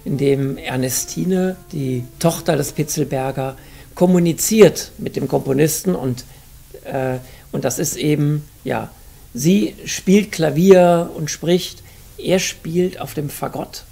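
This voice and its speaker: A middle-aged man, the musical arranger, speaks thoughtfully in an indoor interview, pausing with fillers as he searches for words. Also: a little high, gravelly, dark tone, breathy edge. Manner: thoughtful, hesitant with fillers, gestures while talking.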